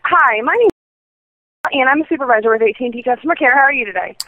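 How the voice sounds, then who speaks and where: A woman's voice: high-pitched, lively intonation, fast, dry recording. A woman on a telephone line, an earnest, talkative customer care supervisor speaking in a reassuring way. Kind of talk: customer service phone call.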